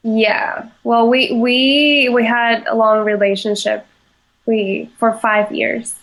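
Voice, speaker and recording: A young woman from Venezuela, a chef answering interview questions in a halting way, with many fillers and restarts. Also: a little high, flat delivery, a bit bright, poor audio. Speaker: chef, podcast guest.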